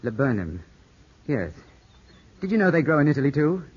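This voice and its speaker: An actor playing an earnest, anxious young English gentleman weakened by illness, in formal 1950s radio drama style on an old broadcast. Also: on the high side, very animated, moderate pace, a little hiss or hum. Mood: brisk, curious. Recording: muffled 1950s radio broadcast, music behind.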